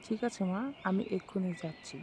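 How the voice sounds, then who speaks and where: A woman's voice: mid-range voice, fairly quick, mellow, a little hiss or hum, lightly acted. A woman playing a dutiful, easily frightened young daughter-in-law in a village cartoon, plaintive and deferential. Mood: dutiful, reluctant.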